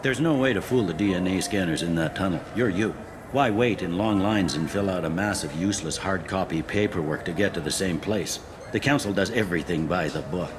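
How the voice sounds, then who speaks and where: A man playing a gruff, pragmatic police captain on a space station, blunt and wry, in video game dialogue. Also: low-pitched, noisy background, natural voice. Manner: blunt, wry, matter-of-fact.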